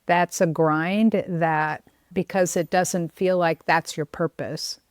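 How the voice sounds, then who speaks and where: An American woman hosts a conversational interview. Her voice sits a little low and comes across smooth and clean. The recording is dry, without audible room. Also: steady tone, slow, no echo. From United States. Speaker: podcast host.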